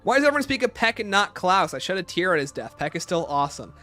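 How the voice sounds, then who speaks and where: A man speaks in a fairly high, very lively voice as he hosts a casual livestream. He fields questions with emphatic, opinionated answers, and music plays clearly behind him. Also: fast talker.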